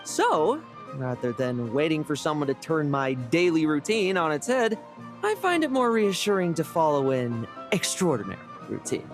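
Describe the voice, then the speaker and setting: An actor playing a jaded young male knight in a video game, dry, restless and measured in his speech. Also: fairly high pitch, wildly swooping pitch. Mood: brooding. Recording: game music underneath.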